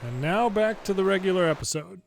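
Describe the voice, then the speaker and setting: Higher-pitched man reading game dialogue aloud. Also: lively intonation, brisk, creaky, a little hiss or hum. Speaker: gameplay video host reading subtitles aloud. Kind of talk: English read-aloud of game dialogue with casual asides.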